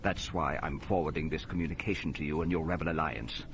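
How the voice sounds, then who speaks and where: A deep, shrewd governor telling war news. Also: male voice, fairly even intonation, fast talker, noisy background. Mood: grave, confiding. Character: calm, shrewd male planetary governor recounting a battle. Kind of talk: dramatic storytelling in space-opera audio drama.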